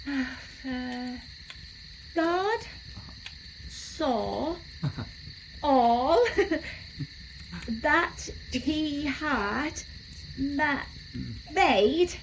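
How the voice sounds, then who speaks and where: High, halting young learner. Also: female voice, lively intonation, slight background noise, full character voice, rough recording. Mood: halting, delighted. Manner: hesitant, halting, soft. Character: gentle young foreign woman learning to read, halting.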